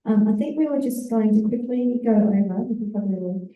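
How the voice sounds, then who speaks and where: A woman, an executive officer, giving a relaxed conference presentation with fillers and self-corrections. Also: on the high side, fairly quick, narrow, tinny audio, a little hiss or hum. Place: large conference hall. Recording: echoey hall, voice far from the mic.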